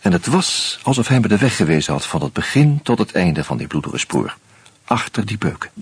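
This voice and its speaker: A man narrating a radio drama in the first person, measured and reflective. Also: low voice, very animated.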